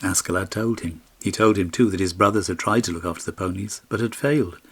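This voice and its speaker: Male audiobook narrator, fairly low and slightly rough, telling a fairy tale with expression. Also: fast talker, fairly bright tone.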